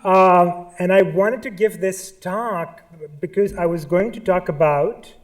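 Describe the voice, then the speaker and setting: A man's voice: high-pitched, slow pace. A man with a Pakistani accent giving a live artist talk at a museum, nervous and full of ums, restarts and asides. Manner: nervous, many fillers and restarts. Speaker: artist speaker.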